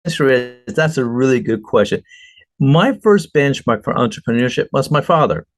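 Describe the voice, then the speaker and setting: A man's voice: mid-range voice, lively intonation, normal speed. Argentine-born man telling his story. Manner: earnest, storytelling, emphatic. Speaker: guest entrepreneur. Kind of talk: conversational interview answers.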